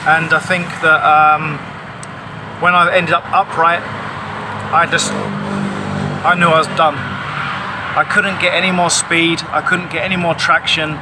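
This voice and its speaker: A man's voice: a little high, little pitch movement, takes it slowly, long pauses. A man in his forties giving an emphatic, insistent explanation to camera, recorded on a phone outdoors. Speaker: paraglider pilot vlogging. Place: outdoors under a tarpaulin canopy. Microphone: phone at arm's length. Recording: clear outdoor ambient noise.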